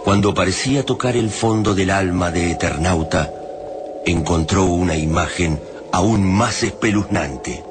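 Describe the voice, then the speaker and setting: A grave male narrator tells a dark radio play. His voice is very low and ominous, delivering literary scripted lines over loud music. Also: unhurried. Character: grave narrator of a dark science fiction horror tale.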